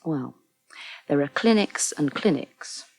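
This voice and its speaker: Female counsellor, frank and reassuring, lively intonation, slightly husky, naturalistic radio soap. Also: sits low, slow pace, full character voice. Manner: reassuring, conversational.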